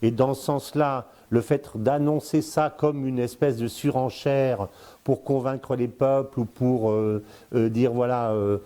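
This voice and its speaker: An older man in his sixties gives his commentary at length, speaking straight to the listener. His voice is mellow, and his flow keeps breaking on fillers and repeated words before he picks the thread back up. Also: mid-range voice, fairly even intonation, unhurried, a little hiss or hum. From born in Congo, lived in Africa, Spain, Brazil. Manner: explains at length, addresses the listener directly. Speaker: strategic affairs commentator, guest. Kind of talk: casual spoken commentary.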